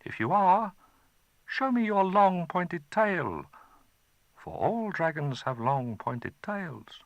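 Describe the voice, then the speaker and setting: A man's voice: high voice, animated, slow pace, no echo, voice pushed up, exaggerated voice. A man voicing a brave young boy in a storybook reading, earnest and defiant.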